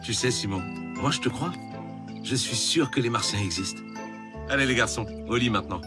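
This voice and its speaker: An actor playing a kindly, playful father with a reassuring, gently teasing manner in an animated series. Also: medium pitch, a little fast, lightly acted. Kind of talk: French animated series dialogue. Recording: music behind the voice. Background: music.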